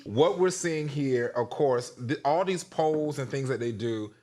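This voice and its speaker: A man speaking as a talk show panelist in unscripted discussion, earnest and halting, often repeating his words. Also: mid-range voice, expressive, crowd noise.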